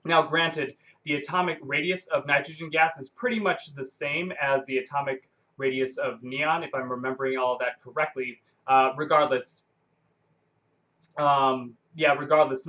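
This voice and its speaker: A man giving an informal, unscripted science explanation, speaking haltingly and often repeating and rephrasing himself. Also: fairly high pitch, pushed and bright, lo-fi sound. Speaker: science explainer presenting a video.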